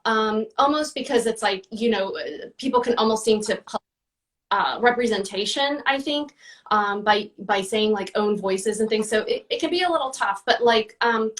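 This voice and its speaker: A woman's voice: fairly high pitch, normal speed, recorded from a distance. A woman answering interview questions in a casual, chatty way, with many fillers and restarts. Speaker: guest, book reviewer.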